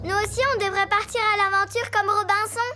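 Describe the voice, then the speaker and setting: A woman's voice: very high-pitched, flat delivery, fairly quick, pushed and bright, faint music behind. A woman voicing a playful, bossy young girl elephant in a French children's cartoon, with childlike, exclamatory delivery. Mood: eager, adventurous.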